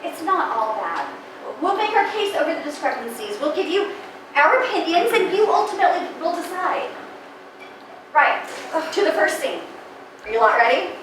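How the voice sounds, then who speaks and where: A woman from Manchester playing a brisk, devout character who scolds and organizes in a stage play. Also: very high voice, very animated, recorded from a distance. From Manchester, England. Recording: echoey stage heard from afar, background noise.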